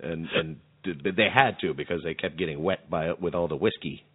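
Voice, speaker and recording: A man hosting a podcast in casual comedic chat, speaking in a halting, wry way. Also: low-pitched, husky. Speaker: podcast host. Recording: muffled phone-like band-limited audio.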